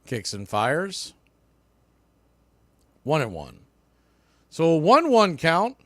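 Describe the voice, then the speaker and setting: A man's voice: fairly high pitch, huge pitch swings, slow, no room sound. A man calling baseball play-by-play live in radio style, with clipped calls that swell on the action.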